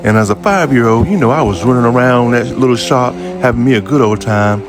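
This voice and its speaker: A man's voice: medium pitch, lively intonation, brisk. A man in his fifties with a Southern American accent, telling a personal story casually and talking straight to his viewers in a folksy, conversational way. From Jacksonville, United States. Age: 50s. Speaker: vlogger. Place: outdoors by an old building near a highway.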